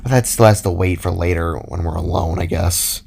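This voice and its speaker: A man's voice: deep voice, normal speed, creaky, darkish tone, soft background music, pitched below the performer's own voice. Man playing a weary, sardonic teenage boy. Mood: troubled. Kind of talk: fantasy audio story dialogue.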